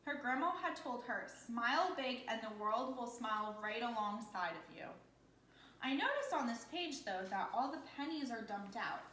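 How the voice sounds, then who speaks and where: A woman's voice: a little high, fairly bright tone. A woman teacher reading a picture book aloud interactively, speaking in simple phrases for children and asking prompting questions. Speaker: elementary teacher. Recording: echoey room with background noise.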